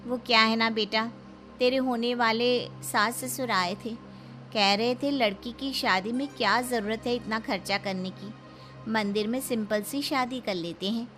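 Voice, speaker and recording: A woman's voice: on the high side, measured pace, long pauses, a bit bright, faint music behind. A woman playing an anxious, accommodating middle-aged mother of a bride, placating in manner, in a cartoon story.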